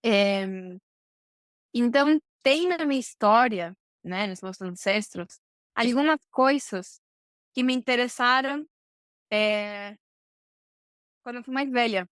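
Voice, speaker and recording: High, clear woman. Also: takes it slowly, pauses often, fairly bright tone, dry recording, clean studio sound. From Argentina, Buenos Aires. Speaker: podcast guest, supply chain professional. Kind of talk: conversational interview answers.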